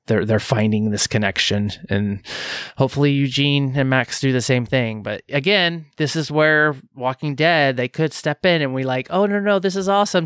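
A man co-hosting a casual podcast, talking in halting stops and starts with lots of fillers. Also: medium pitch, a bit bright, no room sound, clean studio sound. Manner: halting, many fillers and restarts. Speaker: podcast co-host.

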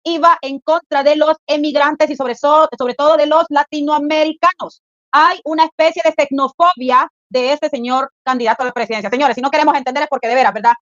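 Salvadoran lady analyst making her point hard, high voice, dry muffled online video audio. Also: fairly even intonation, brisk, fairly bright tone, dry recording. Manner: emphatic, repeats phrases. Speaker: lawyer and political analyst. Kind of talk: political analysis to camera. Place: indoor room, online video. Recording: dull lo-fi online video sound.

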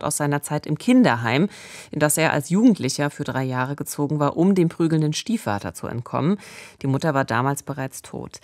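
A woman's voice: low-pitched, a little mellow, dry recording. A woman in her forties narrating true crime as a podcast host, speaking conversationally into a close studio microphone. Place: radio studio. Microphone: close studio microphone with pop filter.

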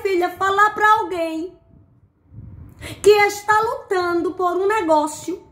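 Fervent high-voiced woman preacher. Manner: fervent, repetitive, exclaims "eita Deus", gestures a lot. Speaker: prophetic preacher. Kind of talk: prophetic word to viewers. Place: small indoor room, plain wall. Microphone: phone camera at arm's length.

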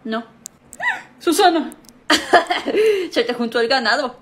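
A young woman acting out a comedy sketch, switching quickly between characters in a small room at home. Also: high-pitched, expressive, a bit bright. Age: young adult.

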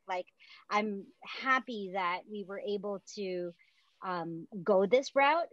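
A woman's voice: medium pitch, a bit bright, no room sound. A woman in her forties, a theatre director, chatting on a video call from home with many fillers. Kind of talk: casual interview on a video call. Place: home room, indoors. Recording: dull video call audio.

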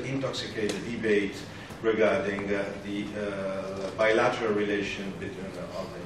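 A lowish male voice addresses a panel in a halting, searching manner. The sound is very echoey and distant, with clear noise around it. He repeats small words as he builds formal political points. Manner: halting, hesitant delivery with frequent repeated words. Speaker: panel speaker. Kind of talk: political round-table address. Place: large parliament meeting room, indoors. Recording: roomy, distant, noisy conference recording.

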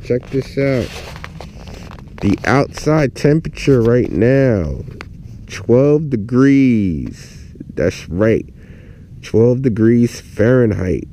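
A man's voice: medium pitch, animated, very slow, pauses often, warm tone, a little hiss or hum. A man talking casually and off the cuff to his viewers as an outdoor gear vlogger. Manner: informal, unscripted, addressing viewers. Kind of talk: casual vlog talk. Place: outdoors in a yard, in and around a tent.

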